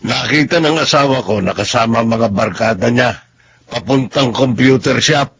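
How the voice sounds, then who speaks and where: A man plays a concerned middle-aged uncle in a Filipino radio drama. He speaks plainly at a middling pitch, with faint noise behind the voice. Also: moderate pace, fairly bright tone, natural voice.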